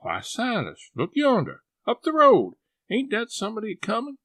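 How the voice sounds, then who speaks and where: A man voicing an excitable, fussy older farm woman who gushes and frets, in a gravelly voice. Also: measured pace, no room sound, studio quality, exaggerated voice. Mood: excited. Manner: breathless, gushing, high-strung.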